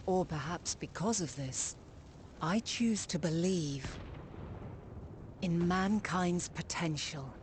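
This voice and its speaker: A woman playing a solemn, compassionate ancient goddess in a fantasy video game, speaking in a grave, poetic and measured way. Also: deep voice, a little mellow, a little hiss or hum. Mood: resolute, hopeful. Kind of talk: scripted dramatic monologue in a fantasy video game. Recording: voice over prominent music.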